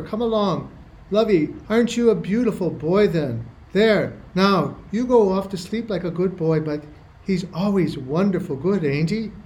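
Man playing a high-voiced, motherly cottage housewife. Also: darkish tone, rough recording. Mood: cosy, fussing. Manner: homely, fussing, folksy dialect. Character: kindly, chatty, motherly rustic cottage housewife. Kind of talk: amateur radio play acting.

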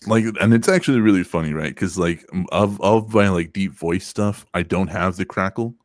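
A young man co-hosting a casual podcast, with a deep voice that has no crackle, crude and teasing and quick to laugh. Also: dry recording, clean studio sound. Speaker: podcast co-host. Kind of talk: casual chat.

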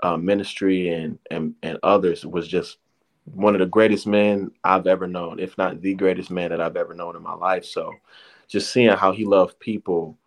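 Deep-voiced man in his thirties. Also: fairly even intonation, normal speed. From Detroit area, USA. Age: 30s. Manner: rambling, many restarts and slang. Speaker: interview guest. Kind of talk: casual personal testimony.

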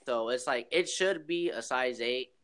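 A man's voice: medium pitch, unhurried, fairly bright tone, clean tone. An eighteen-year-old man chatting casually on a call, laid-back and slangy, saying "bro" and "like" a lot. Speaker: shoe reseller, host of the call. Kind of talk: casual chat.

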